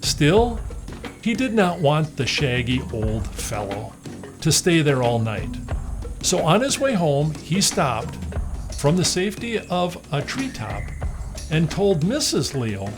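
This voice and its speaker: A man reads a children's story with expressive, swooping intonation. His voice sits a little high and he takes his time, with music playing clearly behind him. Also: takes it slowly.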